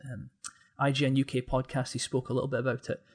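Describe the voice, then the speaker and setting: A man's voice: medium pitch, monotone, fast talker, slightly rough. A man talking as a podcast guest in a casual discussion.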